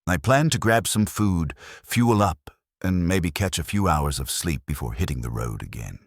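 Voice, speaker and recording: A man with an extremely low voice narrates horror in the first person. His delivery is grave and suspenseful, yet the intonation moves a good deal. The sound is dry and close, with a slightly rough, unpolished edge. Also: lively intonation, normal speed, no echo. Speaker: narrator of horror stories, posing as a trucker.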